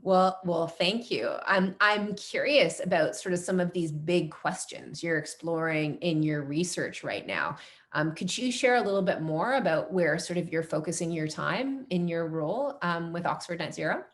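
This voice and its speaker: A woman's voice: on the low side, animated, moderate pace. A woman interviewing a guest in a casual conversation, eager and wordy, with many fillers like "sort of" and "um". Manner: enthusiastic, wordy, full of fillers.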